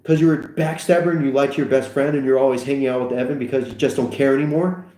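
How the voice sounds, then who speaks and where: A man voicing a brash teenage boy who rants in quick accusing bursts. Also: mid-range voice, fairly even intonation, warm tone, voice pushed up, natural voice. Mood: angry. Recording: roomy echo.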